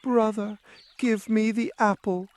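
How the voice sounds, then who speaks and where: A man's voice: high voice, fairly even intonation, slow pace, pitched above the performer's own voice. A man voicing an innocent, easily frightened little girl in a fairy tale, with a plaintive childlike manner. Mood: frightened, distressed.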